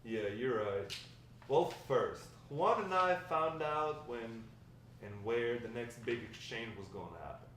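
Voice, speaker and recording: A man's voice: medium pitch, lively intonation, a little slow, a bit bright. A man reading the part of a wry old grandfather telling stories of a drug-dealing past at a script table read, with some stumbles. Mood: matter-of-fact. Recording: distant, very echoey room, faint noise.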